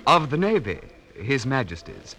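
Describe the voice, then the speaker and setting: An actor playing a proud, formal and courteous naval lieutenant in a classic radio drama. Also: male voice, mid-range voice, very animated, moderate pace, bright, forceful tone. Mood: courtly. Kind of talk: 1950s radio drama acting.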